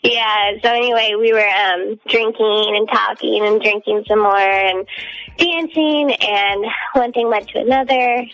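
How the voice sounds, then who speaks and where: Female caller, informal and hesitant, creaky voice, music bed underneath. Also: medium pitch, steady tone, unhurried, fairly bright tone, low-quality recording. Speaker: radio show caller. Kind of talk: casual storytelling.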